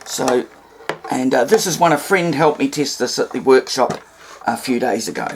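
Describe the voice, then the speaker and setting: A man's voice: medium pitch, moderate pace, creaky, a little hiss or hum. A man giving an informal, hands-on demonstration, explaining things step by step in a somewhat hesitant way. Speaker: hobbyist presenter demonstrating radio repair.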